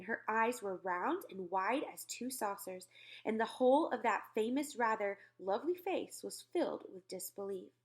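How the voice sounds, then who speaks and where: A woman narrating a children's storybook aloud in an expressive style. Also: medium pitch, slightly rough.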